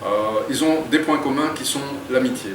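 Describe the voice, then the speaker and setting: A man's voice: mid-range voice, brisk, noisy background. A man, an author, talking in an interview and reading from his book, thoughtful and a little hesitant. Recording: roomy echo, distant mic.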